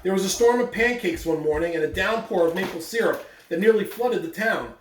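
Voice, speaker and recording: A man reads a picture book aloud for schoolchildren, putting deliberate, animated emphasis on his words. His voice sits at some distance from the microphone in an echoey room, with faint noise underneath. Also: high-pitched. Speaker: school staff member reading aloud on morning announcements. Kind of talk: expressive children's read-aloud. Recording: roomy echo, distant mic, faint background noise.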